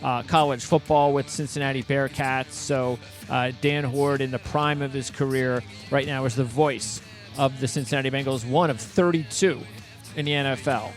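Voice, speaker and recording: A man hosting a sports radio show in a casual, halting, rambling way, often repeating words as he goes. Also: medium pitch, fairly even intonation, soft background music. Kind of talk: casual interview and show patter.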